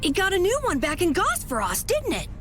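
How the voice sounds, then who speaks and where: A woman voicing an earnest, excitable young boy adventurer in a video game, childlike and eager. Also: very high voice, a little fast, soft background music, heavily acted. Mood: curious. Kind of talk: animated video game dub acting.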